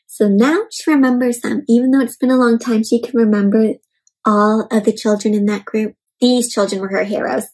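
A young woman reading a storybook aloud to children in an expressive, explanatory way. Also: fairly high pitch, low-quality recording. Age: young adult. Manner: expressive, explanatory, asks the listener questions. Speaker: host of a children's read-aloud show. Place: indoor room at home.

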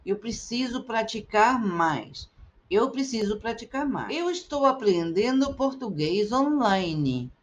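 A middle-aged woman teaching Portuguese, repeating short phrases slowly and with careful articulation for learners. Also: a little high, lively intonation. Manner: slow, carefully articulated repetition. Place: indoors, webcam in a circle overlay.

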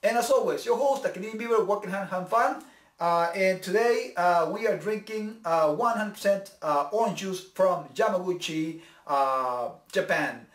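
A man's voice: sits high, measured pace. Upbeat man hosting a kitchen show. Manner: showman host patter, switching between two languages. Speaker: cooking show host. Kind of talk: bilingual cooking vlog. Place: small home kitchen, indoors. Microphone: camera mic, several feet away. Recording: echoey kitchen with faint music.

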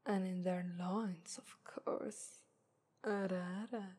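A woman's voice: medium pitch, fairly even intonation, slow, darkish tone, slightly rough. A woman voicing a confident, haughty female demon of lust in an ASMR roleplay, whispering and teasing with an Italian accent. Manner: whispery, teasing, purring "ara ara".

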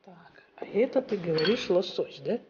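Woman, casual trip chat, curious, exclamatory, muffled camera sound, clear background noise. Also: medium pitch, expressive, moderate pace. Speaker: travel vlogger filming a trip. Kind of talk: casual chat while filming.

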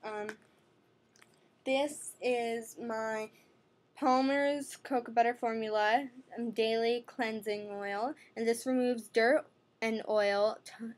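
A teenage girl from New chatting rambly about her skin products in her bedroom, recorded on a webcam. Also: on the high side. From New York City. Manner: rambling, chatty, with many fillers and self-corrections. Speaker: beauty vlogger. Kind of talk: casual product-review vlog. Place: bedroom at night, indoors. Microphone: webcam at desk.

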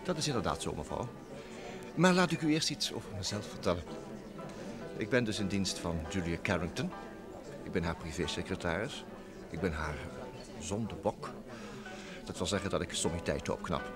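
An actor playing a smooth, talkative young private secretary and blackmailer, theatrically polite, in vintage radio drama style. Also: male voice, low-pitched, animated, moderate pace, pauses often. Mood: affably polite. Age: young adult. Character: smooth, sly thirty-year-old New York private secretary, a blackmailer. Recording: old radio play recording with music.

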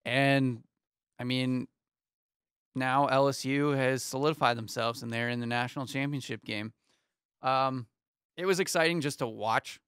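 Smooth-voiced young man co-hosting sports talk. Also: mid-range voice, a little slow, pauses often, dry recording, clean studio sound. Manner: runs through scores in a steady rundown, many ums. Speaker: sports talk show co-host. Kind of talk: casual sports chat. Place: small studio with foam panels. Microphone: studio mic on arm, headphones.

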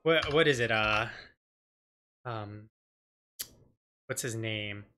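A man's voice: fairly low pitch, slow pace, music in the background. A man in his thirties giving casual, joking, rambling let's play commentary as a streamer.

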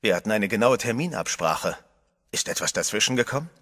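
A composed first-person hero. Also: male voice, on the low side, animated, a little fast, a bit bright. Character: calm adventurer hero telling his own story. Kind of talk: scripted horror radio play narration. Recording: music bed under the voice.

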